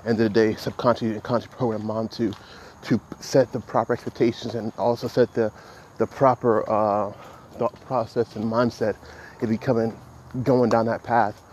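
A man in his thirties hosting a solo podcast, talking reflectively about his goals in a halting way with many restarts. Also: on the low side, level tone, a little mellow, a little hiss or hum. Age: 30s. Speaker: podcast host. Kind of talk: solo motivational podcast monologue.

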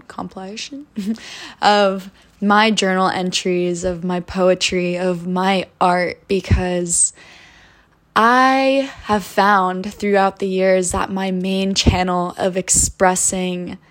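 Earnest young woman sharing her story. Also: mid-range voice. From United States. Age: 20s. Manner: soft, reflective and earnest, with spiritual wording. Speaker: podcast host. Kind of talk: personal storytelling monologue.

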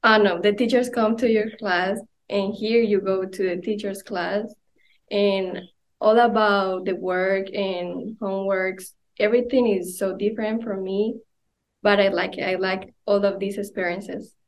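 A woman's voice: medium pitch, steady tone, a little slow, slight background noise. A teenage female student from Colombia with a Colombian accent and Spanish as native language, giving casual interview answers. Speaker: student guest.

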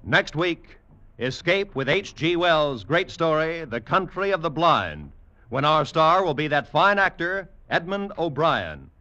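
A man's voice: a little high, sing-song, unhurried, pushed and bright. A man announcing in a polished, emphatic, scripted 1940s network radio style. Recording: dull 1940s radio broadcast recording.

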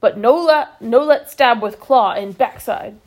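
A woman's voice: a little high, a little slow, a little mellow. A woman voicing a proud warrior chief who speaks in short, broken phrases. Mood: firm. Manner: terse, clipped broken phrases.

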